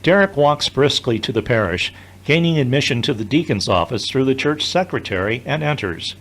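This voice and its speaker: A man's voice: medium pitch, expressive, normal speed, fairly bright tone. A man narrating an audio drama from a script in the third person.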